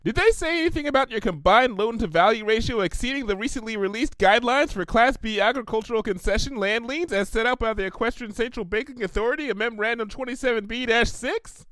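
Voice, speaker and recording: A man voicing a bubbly, silly young female cartoon pony, chirpy and excitable, in a story reading. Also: very high voice, fast talker, hardly any pauses, no room sound, voice pushed up. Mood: matter-of-fact, chipper.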